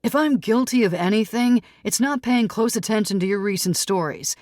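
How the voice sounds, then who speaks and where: Woman voicing an earnest, defensive man, pitched up. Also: lively intonation, moderate pace, darkish tone, no echo, clean studio sound, close to an everyday voice. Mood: indignant, protesting. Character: defensive, pleading male skeptic writer. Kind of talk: audiobook character dialogue.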